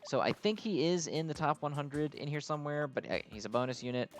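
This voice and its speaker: A man's voice: on the high side, a little fast, soft background music. A man giving casual, unscripted game commentary as a video host, explaining things in a hesitant, rambling way.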